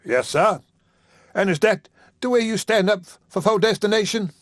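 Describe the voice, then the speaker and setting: Guy putting on a sputtering mad churchman, way high and shrill, dry sound. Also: moderate pace, no room sound, close to an everyday voice. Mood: outraged. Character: angry, defeated male rival churchman.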